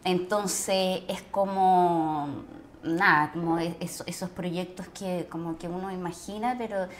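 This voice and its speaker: A woman's voice: on the low side, steady tone, measured pace. Middle-aged woman, Chilean accent, actress in casual interview, effusive, searching for words, faint background noise. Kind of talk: casual conversation, reflecting on acting work.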